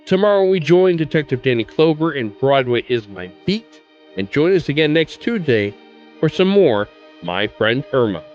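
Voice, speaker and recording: A man's voice: fairly high pitch, sing-song, normal speed, muffled recording. A man hosting a podcast, giving scripted commentary and sign-off announcements. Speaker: podcast host. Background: music.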